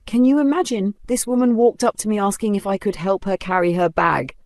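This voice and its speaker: A storyteller voicing a haughty, vicious rich woman of thirty who snaps and shouts insults. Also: medium pitch, big pitch swings, normal speed, no echo, studio quality, voice pushed up. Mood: scornful.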